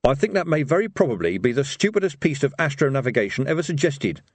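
A man's voice: mid-range voice, very animated, quick pace, husky, dry recording, voice pushed up. Storybook role: male reader as nervy, sardonic adventurer, dry and exasperated, varied intonation. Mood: dry, uneasy. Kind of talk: audiobook character voice.

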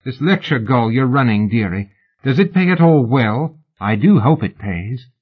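A man reading an audiobook, voicing a pushy, excitable exiled woman who gushes and pleads in an overly familiar way, on a dull lo-fi recording. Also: on the high side, unhurried, breathy edge, pitched above the performer's own voice. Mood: gushing.

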